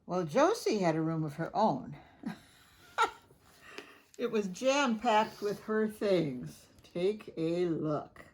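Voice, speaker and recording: A woman reading a picture book aloud to children, gently chatting and stopping to ask the listener questions. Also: on the low side, expressive, takes it slowly, long pauses, husky. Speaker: storybook reader.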